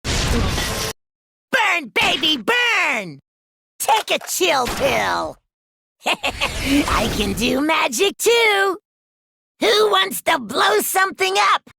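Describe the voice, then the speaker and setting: Cheeky firebug mage for a game, high swoopy voice, noisy clip. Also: female voice, big pitch swings, drawn-out words, creaky, long pauses, exaggerated voice. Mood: gleeful mischief. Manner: playful, manic teasing. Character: gleeful, cheeky female pyromaniac mage. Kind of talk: video game hero voice lines.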